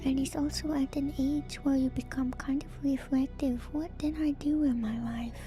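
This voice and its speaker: Slow, whispering ASMR woman over music. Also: sits high. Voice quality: soft, breathy whisper. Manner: slow, musing, conversational asides. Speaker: streamer hosting a lore read-through. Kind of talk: whispered ASMR, reading a report aloud with casual commentary.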